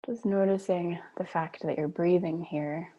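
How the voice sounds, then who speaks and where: A woman leading a guided meditation on a video call, speaking gently and unhurriedly with long pauses. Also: lowish voice, fairly even intonation, lo-fi sound.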